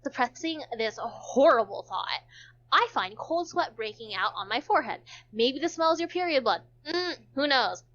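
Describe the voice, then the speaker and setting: A woman with a high, very animated voice reads a visual novel aloud. She moves between narration and casual joking asides, tripping on the odd word. The sound is slightly dull and lo-fi. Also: moderate pace.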